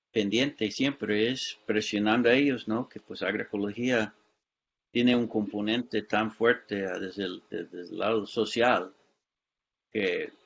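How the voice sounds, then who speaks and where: A man speaking as a panelist in a discussion, hesitant and often checking in with tag questions. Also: on the low side. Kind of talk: discussion remarks. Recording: dull lo-fi sound.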